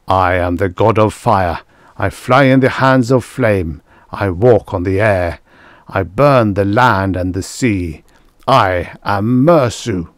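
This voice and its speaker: A man's voice: on the low side, sing-song, slow, a little mellow, no room sound, clean studio sound. A man voicing a proud, wrathful fire god in a science fiction story, making grandiose, thundering declarations.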